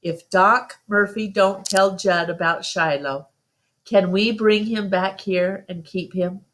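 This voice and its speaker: A woman's voice: mid-range voice, fairly even intonation, slow pace, close to an everyday voice. A woman reading the part of an earnest, stubborn eleven-year-old country boy in a storybook, pleading in folksy phrases.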